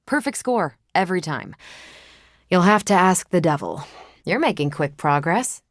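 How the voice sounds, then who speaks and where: Low-voiced, confident wry superheroine. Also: female voice, lively intonation, normal speed, no room sound. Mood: wry, casual. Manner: dry, teasing, self-assured. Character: confident, wry superheroine soldier, loyal and battle-ready. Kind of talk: video game voice acting.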